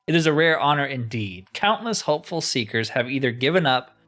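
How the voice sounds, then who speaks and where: A man's voice: medium pitch, animated, fairly quick, a bit bright, soft background music, natural voice. A man voicing a haughty ancient master enchanter turned crystal, reading game dialogue in a grandiose, theatrical manner. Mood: grand, welcoming. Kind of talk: reading video game dialogue aloud in character.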